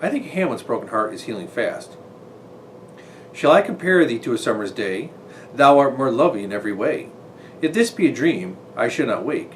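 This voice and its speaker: A man's voice: mid-range voice, sing-song, moderate pace, a little hiss or hum, rough recording. A man on a school staff reading a children's story and its verse aloud with expression.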